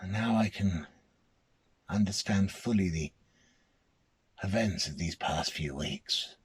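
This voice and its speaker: An actor performs a first-person tale at a slow, deliberate pace. His voice is husky and breathy. He halts and repeats words as he goes, in a dramatic storytelling style. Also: low-pitched. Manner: hesitant, halting, repeating words.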